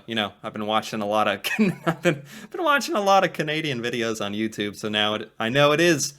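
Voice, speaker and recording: American man musing, bright tone. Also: medium pitch, clear, resonant tone. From United States. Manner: musing, conversational, laughs a little. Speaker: co-host reacting to a video. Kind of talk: casual reaction commentary.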